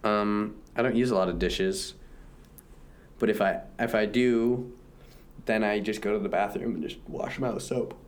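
A young man co-hosting a podcast, teasing and joking in casual banter, with a deep voice while a little sick. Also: moderate pace. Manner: teasing, joking, self-mocking. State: slightly sick. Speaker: podcast co-host.

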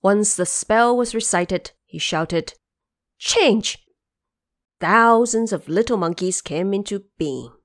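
A woman narrating a scripted fantasy tale in a dramatic, expressive storytelling manner. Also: medium pitch, a little slow, few pauses.

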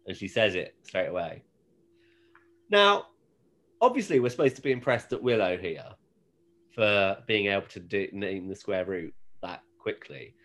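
British man with a lively, animated voice. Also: fairly low pitch. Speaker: podcast co-host. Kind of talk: casual chat.

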